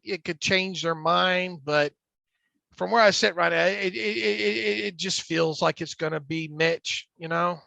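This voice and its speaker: A man co-hosting a casual sports podcast, with a stutter and a gravelly smoker's voice, often restarting and repeating words. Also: high voice, bright, forceful tone, no echo. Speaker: podcast co-host. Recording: dull lo-fi dry sound.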